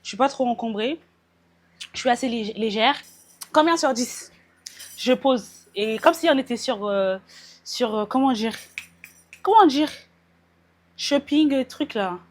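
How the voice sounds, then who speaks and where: A woman's voice: fairly high pitch, animated, measured pace, long pauses. Chatty young woman vlogging her outfit. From France. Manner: chatty, mixes in English words, laughs at herself. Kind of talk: casual vlog chat. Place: at home getting ready, then out in the street and metro. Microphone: small vlogging mic.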